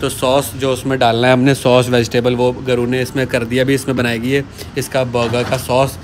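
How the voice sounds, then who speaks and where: A man's voice: medium pitch, level tone, moderate pace, a little hiss or hum. Playful chatty man filming a cooking vlog. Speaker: vlogger. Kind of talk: casual cooking vlog chat.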